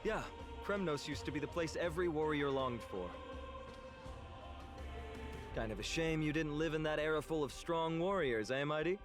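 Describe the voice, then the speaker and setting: A man's voice: on the high side, moderate pace, long pauses, lightly acted. A man voicing a cheerful young warrior in a video game, easygoing and teasing. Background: game music.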